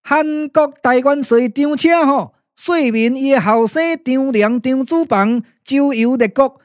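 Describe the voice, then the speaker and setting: A man's voice: extremely high pitch, measured pace, dry recording, clean studio sound. A man playing a chatty, deferential underling with a Taiwanese accent, hesitant and wheedling, in a glove-puppet radio drama. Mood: deferential, explaining. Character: deferential, chatty male underling reporting to his master.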